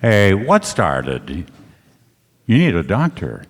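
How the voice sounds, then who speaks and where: A man's voice: low-pitched, huge pitch swings, takes it slowly, full character voice. A man playing a tough hardboiled private detective, narrating his case in 1940s radio play style. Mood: urgent. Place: on stage in a hall. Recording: noisy echoey hall, live stage performance.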